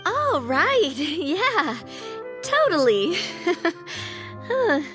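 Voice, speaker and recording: A woman's voice: very high voice, big pitch swings, extremely slow, music in the background, exaggerated voice. A woman playing a curious, candid young woman in an anime game, talking informally.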